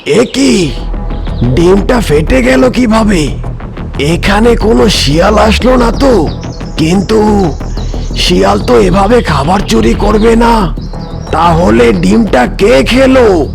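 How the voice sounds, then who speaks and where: A man voicing an excitable, easily frightened cartoon hyena who talks to himself in exclamations. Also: high-pitched, slow pace, gravelly, pauses often, over music, exaggerated voice. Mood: puzzled. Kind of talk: exaggerated children's cartoon acting.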